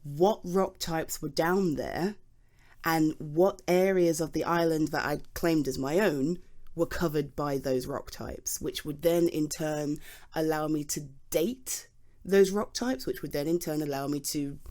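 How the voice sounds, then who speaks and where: A woman's voice: low voice, unhurried, darkish tone. A British woman playing an enthusiastic, self-deprecating geology nerd in an ASMR roleplay, rambling hesitantly as if chatting to a listener. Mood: earnest, enthusiastic. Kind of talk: ASMR voice roleplay, improvised one-sided conversation.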